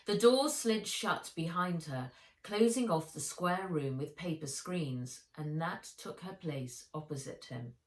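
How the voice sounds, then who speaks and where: A woman's voice: deep voice, lively intonation, measured pace. A woman narrating a children's adventure novel as an audiobook, expressively and with dramatic emphasis. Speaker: author reading own book aloud. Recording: echoey room.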